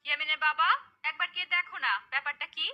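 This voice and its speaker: A woman playing a curious, credulous cartoon mother, coaxing and animated. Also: sits high, fast.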